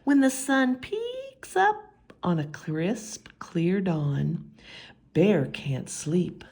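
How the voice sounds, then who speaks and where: A woman's voice: on the low side, sing-song, extremely slow, a little mellow, husky. A woman reading a picture book aloud for children in a theatrical way, with whispered asides and stretched-out refrains. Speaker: children's story time reader.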